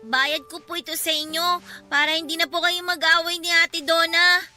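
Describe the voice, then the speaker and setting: A woman's voice: extremely high pitch, little pitch movement, moderate pace, bright, forceful tone, faint music behind, full character voice. A woman with a Filipino accent voicing an innocent, polite, pleading little orphan girl in a radio drama, in a childlike, earnest manner. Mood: innocent, sad.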